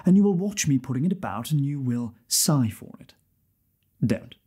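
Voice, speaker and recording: Man playing a husky, lewd libertine nobleman. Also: mid-range voice, very animated, moderate pace, mellow. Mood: smug, provocative. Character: arrogant, lewd libertine nobleman addressing an audience. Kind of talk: theatrical prologue monologue.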